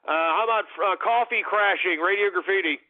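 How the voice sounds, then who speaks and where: A male radio host taking calls on a call-in show, ranting and shouting exclamations. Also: high-pitched, fairly quick. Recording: lo-fi narrow-band broadcast with faint music.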